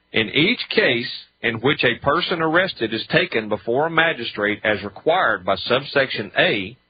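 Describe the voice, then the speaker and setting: A man's voice: medium pitch, breathy edge. A man giving an explanatory legal talk on the radio, reading statutes aloud and commenting with pointed rhetorical questions. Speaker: radio show speaker on law. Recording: dull lo-fi radio audio.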